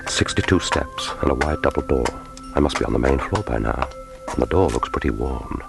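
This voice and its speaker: A cool, wry secret agent thinks aloud in a radio play. His voice is extremely deep and creaky, with a deadpan delivery over clearly audible film music. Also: a little fast, exaggerated voice. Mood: wry, tense. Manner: deadpan, wry, inner monologue. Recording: film score music behind voice.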